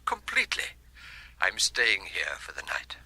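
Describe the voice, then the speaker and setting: Bright, teasing detective husband. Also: male voice, low-pitched, huge pitch swings, measured pace, slightly rough, no echo, full character voice. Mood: fond, teasing. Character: husband, senior detective, fond, evasive, amused. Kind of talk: British radio drama acting.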